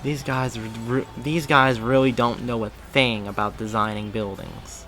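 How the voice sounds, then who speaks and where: Man playing an exasperated, bright-voiced hero. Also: mid-range voice, steady tone, normal speed, soft background music. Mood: weary, annoyed. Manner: muttering, incredulous. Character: exhausted, exasperated young male hero.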